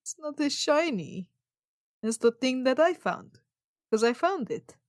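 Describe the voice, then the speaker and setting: A woman plays a tabletop roleplaying game, speaking casually in character. Her voice is a bit high, her delivery plaintive and mock-dramatic, and the recording has a dry, close sound. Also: big pitch swings, a little slow, no echo. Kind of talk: casual in-character improvised chat.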